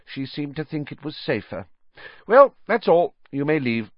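Male narrator playing strict older schoolmistress: high, clipped, authoritative; storybook reading, dry and close. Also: very animated, measured pace, no room sound, highs cut off. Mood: stern, brisk. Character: stern, brisk older female schoolteacher.

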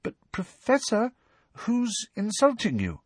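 Man doing a high, timid schoolgirl. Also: very animated, normal speed, husky, no room sound, exaggerated voice. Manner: hushed, tentative. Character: timid, concerned, admiring schoolgirl.

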